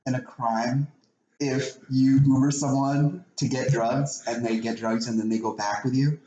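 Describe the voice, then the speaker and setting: A man's voice: medium pitch, steady tone, mellow, lo-fi sound. An American man chatting casually and telling rambling, profanity-laced anecdotes as a co-host. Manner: rambling, profane, quick to laugh. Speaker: podcast co-host telling stories. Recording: roomy echo with clear background noise.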